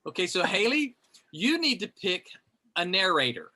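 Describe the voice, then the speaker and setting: A man's voice: sits high, very animated, moderate pace, bright, forceful tone, slight background noise. A man hosting a group reading on a video call, explaining the rules in a chatty, rambling way. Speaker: host.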